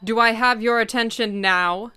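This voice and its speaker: A stern female cat leader acted by a woman in an audiobook drama. Her voice is bright, forceful and very clear, recorded dry. She instructs like a firm, measured mentor in full command. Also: on the high side, dry recording. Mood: intense, measured. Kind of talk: fan-dub audiobook drama acting.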